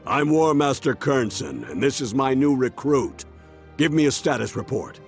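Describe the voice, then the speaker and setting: An actor playing a gruff, battle-hardened war master in a video game, gravelly, blunt and martial. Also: male voice, medium pitch, sing-song, normal speed, soft background music. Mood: brisk, blunt. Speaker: military officer. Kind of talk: video game cutscene acting.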